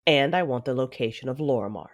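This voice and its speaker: A woman playing a commanding, coolly menacing Sith lord in an audio drama, speaking in a measured, imperious, formal way. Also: extremely low pitch, lively intonation.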